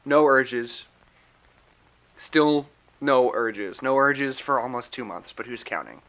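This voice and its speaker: A man's voice: medium pitch, slow pace, fairly bright tone, dull audio. An actor playing an exhausted, bitter male psychologist held in containment, speaking in a defeated, sardonic way in an audio drama. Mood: weary, bitter. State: tired. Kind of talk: scripted audio drama log.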